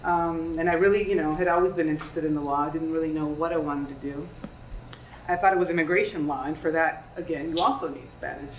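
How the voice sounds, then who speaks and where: Low-voiced woman panelist in an echoey room. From Peru. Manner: conversational, asks the audience questions. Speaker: career panelist. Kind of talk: panel talk to students. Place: lecture room. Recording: echoey room, distant mic, faint hiss.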